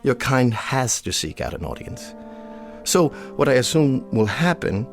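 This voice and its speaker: An actor playing an austere older gentleman colonel in a radio drama, speaking formally and deliberately with cutting, cold contempt. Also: mid-range voice, sing-song, faint music behind.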